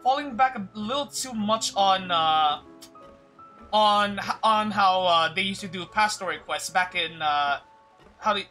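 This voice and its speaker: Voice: medium pitch, sharp tone. A game streamer giving casual live commentary, hesitant and often repeating phrases while thinking aloud.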